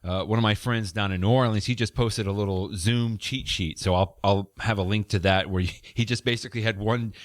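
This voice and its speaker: A man's voice: fairly low pitch, fairly even intonation, a little fast, hardly any pauses, no room sound, studio quality. A middle-aged man hosting a podcast, explaining tech tips in a casual, conversational style.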